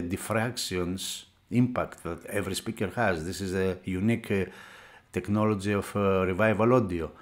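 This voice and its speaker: A man who is a non-native English speaker gives an unscripted hands-on product review, pausing often as he searches for words. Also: sits low. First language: not English. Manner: halting, searching for words, with frequent hesitations. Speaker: product reviewer for an audio magazine.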